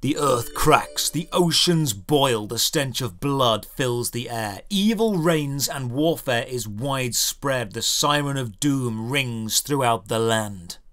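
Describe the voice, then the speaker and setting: A man voicing a grave epic narrator of apocalyptic fantasy game prophecy, slow, ominous and dramatic. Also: mid-range voice, expressive, fairly bright tone, pitched below the performer's own voice.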